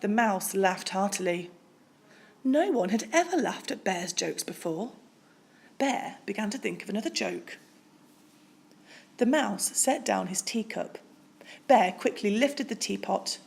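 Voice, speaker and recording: A woman narrates a picture book for children with a very lively, varied melody and a slow pace. Her voice has some creak, and her phrasing is deliberate and dramatic. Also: mid-range voice. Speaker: storybook reader. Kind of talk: expressive scripted narration for children.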